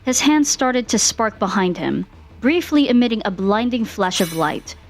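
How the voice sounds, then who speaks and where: A woman reading fan fiction aloud as an expressive narrator, with music behind her. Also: on the high side, normal speed.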